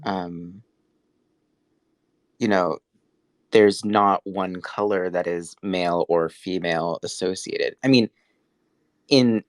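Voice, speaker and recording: A young man from Texas, a guest artist, speaking in a soft, hesitant way with pauses in a casual conversation. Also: deep voice, no room sound. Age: young adult.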